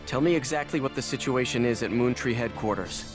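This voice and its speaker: A man's voice: mid-range voice, fairly quick, natural voice. An actor voicing a brusque, guarded young male hero in a game cutscene, speaking curtly. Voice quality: curt, blunt. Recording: game cutscene with music underneath.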